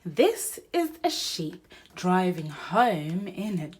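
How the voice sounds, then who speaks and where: A woman reading a children's storybook aloud in an expressive, sing-song way, addressing young children. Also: fairly low pitch, takes it slowly, breathy edge. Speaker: mother and presenter of a children's read-aloud channel.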